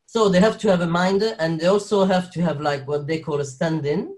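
A young man from Belgium speaks English with a soft French touch. He talks in a loose, rambling way, restarting sentences and laughing often as he tells his story. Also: on the high side, steady tone, a little fast. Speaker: guest actor. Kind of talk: casual interview chat.

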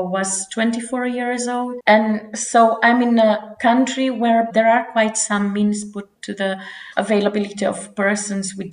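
A woman's voice: mid-range voice, a little mellow. A woman in her forties from Romania, giving earnest interview answers with frequent restarts. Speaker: guest. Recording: roomy echo.

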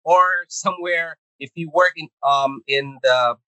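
A man's voice: fairly high pitch, unhurried, sharp tone, dry recording, rough recording. A middle-aged Filipino man with a Filipino accent, a podcast guest talking casually and hesitantly, with many fillers, restarts and easy laughs. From Philippines. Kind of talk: casual conversational interview.

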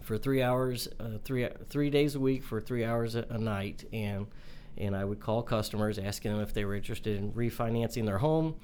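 A man in his forties with a young-sounding voice, answering interview questions in a halting way with many fillers and restarts. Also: fairly low pitch, a little mellow, slight background noise. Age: 40s. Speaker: mortgage company owner.

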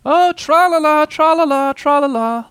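Man playing a cheerful high-voiced storybook boy. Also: very animated, moderate pace, a bit bright, dry recording, studio quality, exaggerated voice. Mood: playful. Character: cheerful, loud young boy, friendly and playful.

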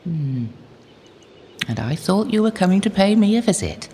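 An actress playing a devout, motherly older holy woman in an audio drama, gentle, knowing and unhurried. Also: mid-range voice, big pitch swings, in a large hall, slight background noise, natural voice. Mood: wry, firm.

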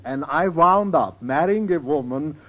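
An actor playing a wry, teasing older professor in an old radio sitcom, with theatrical joke-telling and odd turns of phrase. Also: male voice, fairly high pitch, animated, measured pace. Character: wry, teasing older professor, grumbling fondly about women. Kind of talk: 1940s-50s radio sitcom acting. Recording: dull old-time radio recording.

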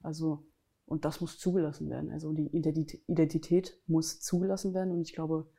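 A woman from Germany interviews her guest in a direct, emphatic way. Her voice sits a bit low and sounds mellow and warm, and she often backs up what her guest says. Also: level tone, fairly quick, gravelly, husky. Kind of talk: conversational interview.

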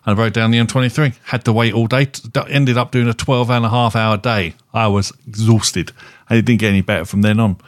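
A British man with a fairly low voice talks casually as a podcast host. He has a wry, self-deprecating way about him, and the sound is close and dry. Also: fairly even intonation, fast, dry recording. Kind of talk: casual chat.

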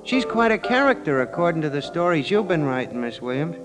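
An actor playing a good-natured, slow-witted, folksy bartender who rambles ungrammatically in a 1940s radio drama. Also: male voice, a little high, big pitch swings. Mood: curious, chatty. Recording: old radio recording, organ music.

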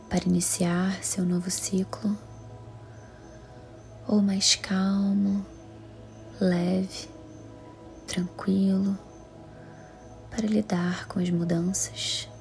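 Female Brazilian meditation guide. Soft, breathy, even delivery with very little pitch movement, soothing and measured, music underneath. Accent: Brazilian Portuguese.

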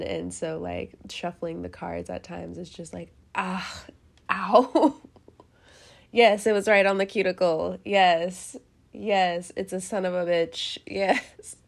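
A woman's voice: on the low side, creaky. A young woman with a head cold hosting a casual tarot reading, chatty and rambling, laughing often and cursing now and then. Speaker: tarot reader host.

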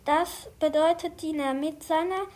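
A woman voicing an eager, very high-voiced boy. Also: fairly even intonation, a little slow, a little mellow. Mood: explanatory. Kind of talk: scripted children's audio play dialogue.

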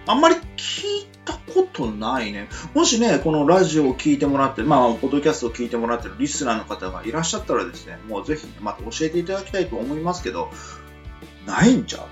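Casting: female podcast host, western Japanese accent, extremely low register, animated delivery, teasing banter for a loose two-person chat. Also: fast talker. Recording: muffled band-limited sound over music. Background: music.

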